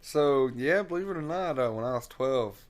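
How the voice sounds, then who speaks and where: A man talking as an interview guest in a relaxed, rambling way, with lots of fillers and frequent laughs. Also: mid-range voice, moderate pace. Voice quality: loud singing voice. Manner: laughs often. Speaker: interview guest, singer and guitarist. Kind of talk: casual interview chat.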